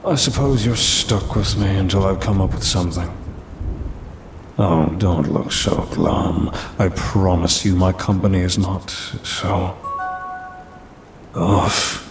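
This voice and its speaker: Casting: a man to play a smug, centuries-old demon for ASMR roleplay, very low and unhurried, condescending, old-fashioned phrasing. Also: vocal fry, over music, heavily acted. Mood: smug, menacing. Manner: haughty, teasing, old-fashioned wording, drawn-out delivery. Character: smug, ancient male demon trapped in a cursed necklace. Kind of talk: ASMR audio roleplay acting. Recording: rumbling rain and thunder noise.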